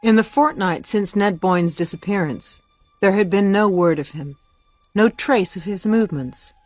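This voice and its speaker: A woman narrating a literary ghost story for a radio play, measured and solemn. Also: medium pitch, animated, no echo, soft background music, natural voice. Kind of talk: scripted narration.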